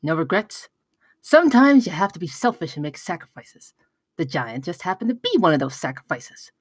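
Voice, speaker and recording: A woman's voice: fairly low pitch, big pitch swings, moderate pace, breathy edge, dry recording. A woman playing a frightened, defensive gamer dad who pleads and protests in exasperation at a comedy table read. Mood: casual, self-justifying.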